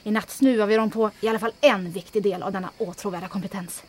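An actress playing a bold, adventurous resistance captain who gives brisk commands with dry asides. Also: female voice, medium pitch, steady tone, a little mellow, slight background noise, natural voice. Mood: commanding. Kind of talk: audio drama acting.

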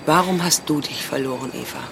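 A woman's voice: low-pitched, brisk, vocal fry. An actress in a German radio play voicing a middle-aged survivor mother who pleads with her estranged daughter. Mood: grave, resolute. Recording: music behind the voice. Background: music.

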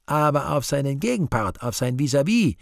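A man's voice: mid-range voice, sing-song, normal speed, creaky, dry recording, studio quality. An actor playing a sardonic, courteous devil in a radio play, mock-polite and theatrical, with an insinuating salesman's slyness. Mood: scheming. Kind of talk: radio play acting, reading own stage directions.

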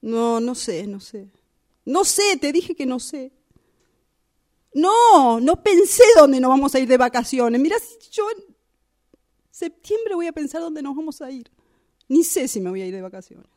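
A woman's voice: high-pitched, sing-song, a little slow, pauses often, darkish tone. A woman with an Argentine Rioplatense accent playing a hurt, resentful partner on the phone in a radio drama.

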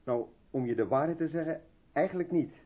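A man's voice: a little high, a little fast, breathy edge, phone-quality sound. An actor playing a brusque, reluctant man absorbed in his work, hesitant in manner, in a Dutch radio drama from the 1970s. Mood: flustered, apologetic.

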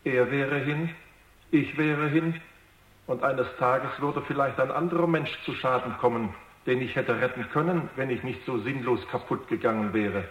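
An echoing, anxious young robot. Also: male voice, medium pitch, moderate pace, lo-fi sound. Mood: earnest, reasoning. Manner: deferential, earnest, slightly hesitant. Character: new male robot, naive, polite, earnest and anxious. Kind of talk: radio drama acting. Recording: echoey, roomy radio drama production.

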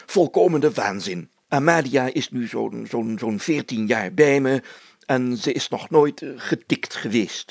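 A man's voice: medium pitch, vocal fry, darkish tone, slightly rough, no room sound, exaggerated voice. A man voicing a pompous, flustered burgomaster in a story, with blustering, old-fashioned formal speech. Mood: indignant. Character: pompous, flustered male burgomaster and police chief.

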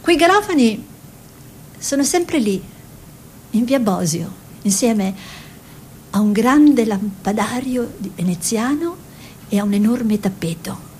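Una pittrice italiana arguta. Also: female voice, lively intonation, slow pace, pauses often, darkish tone. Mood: nostalgic. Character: spirited self-taught Italian woman painter, former artists' model, reminiscing. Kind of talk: theatrical monologue and dialogue for radio. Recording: radio play with faint noise and rumble.

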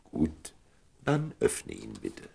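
An actor playing a wise, fatherly diplomat of fifty-six, deliberate and theatrical, in a classic radio play. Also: a bass voice, wildly swooping pitch, vocal fry, darkish tone, breathy edge, heavily acted. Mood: wistful, gentle. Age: middle-aged. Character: wise, fatherly 56-year-old diplomat, gently persuasive.

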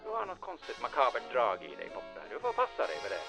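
A man's voice: mid-range voice, wildly swooping pitch, vocal fry, pushed and bright, faint music behind, heavily acted. Man playing a swooping, suspicious interrogator. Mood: suspicious, probing. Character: suspicious, scolding military man hunting for gold teeth. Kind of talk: audio drama acting.

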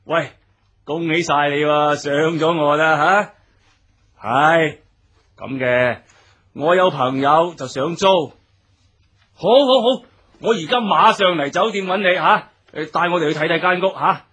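An actor playing a brisk, calculating boss in a Cantonese radio drama, speaking decisively. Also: male voice, a little high, fairly bright tone, husky. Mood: brisk, keen.

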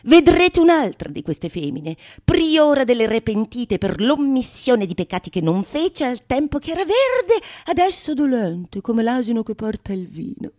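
A woman actor performing a theatrical monologue in a declamatory manner, with dramatic pauses and relish for long lists of words. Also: fairly high pitch, big pitch swings, dark tone. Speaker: solo stage actress. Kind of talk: theatrical monologue of a Renaissance comedy.

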